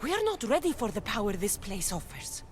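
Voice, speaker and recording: A woman's voice: medium pitch, very animated, brisk, soft background music, natural voice. An actress playing a determined, principled female warrior hero in a video game cutscene, firm and earnest.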